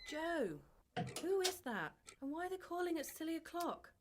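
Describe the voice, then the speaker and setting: A woman's voice: extremely high pitch, lively intonation, normal speed, a little hiss or hum. A woman acting in a radio drama as a sleepy, querulous girlfriend with a suspicious, nagging manner. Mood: annoyed.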